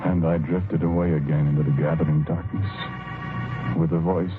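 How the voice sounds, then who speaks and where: An actor playing a haunted, wounded husband telling his tragic tale haltingly and intimately, in 1940s radio drama narration on an old recording. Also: deep voice, steady tone, narrow, tinny audio, over loud music, lightly acted. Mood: haunted, grave.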